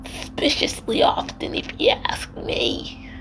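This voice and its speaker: A woman voicing a fussy, stern game librarian with a put-on New Jersey accent and a breathy, drawn-out, dramatic delivery, over music. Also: a bit bright, whispered, low-quality recording. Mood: eerie, suspicious. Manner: dramatic, scolding. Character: fussy, stern female librarian with spooky complaints.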